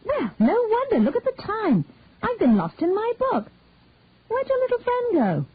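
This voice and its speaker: A woman's voice: very high voice, wildly swooping pitch, moderate pace, pitched above the performer's own voice, exaggerated voice. A woman doing a tender, soothing mother. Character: gentle patient young mother. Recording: tinny phone-like sound.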